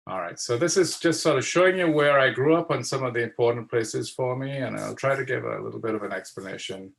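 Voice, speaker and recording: A man from Trinidad and Tobago talks through his slides at an easy pace on a video call. His voice sits low and has a gravelly, crackling edge. He often stops to search for words, repeating himself and filling gaps with "um.". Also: a bit bright. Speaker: guest speaker. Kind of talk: slide presentation talk.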